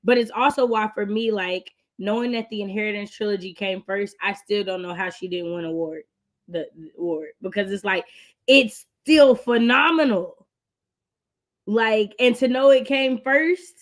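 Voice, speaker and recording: A woman with an American accent hosting a book readalong stream in an animated, colloquial, emphatic way. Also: mid-range voice. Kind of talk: casual livestream chat.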